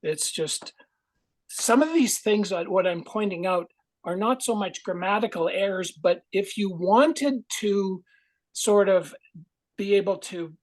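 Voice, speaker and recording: Slow, musing man. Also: high voice. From Canada. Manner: musing, digressive, friendly explainer. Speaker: grammarian at a speaking club. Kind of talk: spoken club report.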